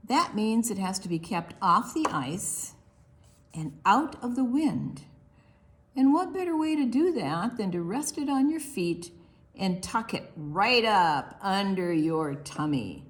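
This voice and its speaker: Woman, children's storytime reader. Unhurried, very animated pitch, expressive delivery aimed at preschoolers. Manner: expressive, deliberate, with quiet asides to young listeners. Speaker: children's librarian hosting online storytime. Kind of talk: read-aloud picture book storytime for preschoolers.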